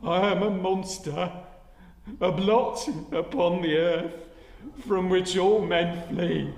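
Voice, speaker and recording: A man's voice: sits high, steady tone, takes it slowly, creaky, darkish tone, exaggerated voice. A man playing a wretched, eloquent monster in a gothic radio drama, menacing and proud, declaiming in archaic, theatrical phrases. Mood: anguished, pleading. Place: live stage in a hall. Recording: echoey hall, long reverb.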